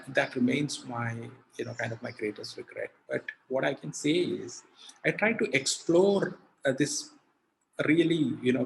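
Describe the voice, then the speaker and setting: Poet guest, gravelly, halting speech on a slightly noisy call line. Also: male voice, medium pitch, fairly bright tone. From India. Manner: halting, many fillers and restarts. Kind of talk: conversational interview answer. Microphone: video call.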